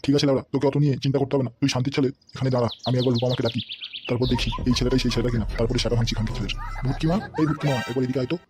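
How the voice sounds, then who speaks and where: A man's voice: mid-range voice, fairly even intonation, racing, loud music behind the voice, lightly acted. A man voicing a bullying, hot-tempered politician boss in a comedy cartoon, blustering, threatening and cursing. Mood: bossy.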